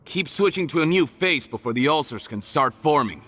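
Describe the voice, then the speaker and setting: Smug scheming crook, bright voice, swings up and down a lot, music behind. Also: male voice, fairly high pitch, lively intonation, normal speed. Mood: scornful. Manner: condescending, calculating. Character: scheming cold male criminal leader. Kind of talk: game cutscene voice acting.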